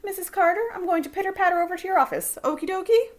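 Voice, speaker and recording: A woman's voice: extremely high pitch, racing, pitched above the performer's own voice. A woman voicing a jovial, folksy male school principal in a children's story, fussing in bouncy rhyming slang. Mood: bustling.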